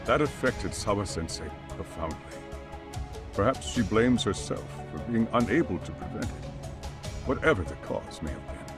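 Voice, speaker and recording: A man playing a grave, courteous middle-aged school chairman in an English video game dub, formal, earnest and deliberate. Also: lowish voice, expressive, music in the background, natural voice. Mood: solemn, pleading.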